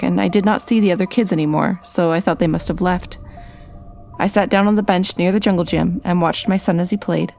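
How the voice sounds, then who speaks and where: A woman narrates a first-person scary story from a script. Her voice sits a little low for a woman. Faint music plays behind her. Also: darkish tone.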